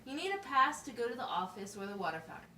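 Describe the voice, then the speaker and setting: A woman's voice: fairly high pitch, lively intonation, fast talker, sharp tone. A woman playing a patient, instructive schoolteacher in an amateur puppet show, explaining things simply and asking checking questions. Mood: firm, instructive. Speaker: teacher. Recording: roomy, distant mic with faint noise.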